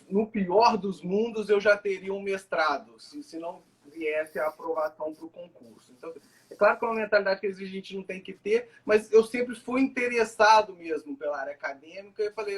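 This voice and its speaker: A man's voice: high-pitched, rough recording. A man, a law professor talking informally as a guest. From Minas Gerais, Brazil. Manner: relaxed, informal, many tag questions. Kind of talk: casual interview talk.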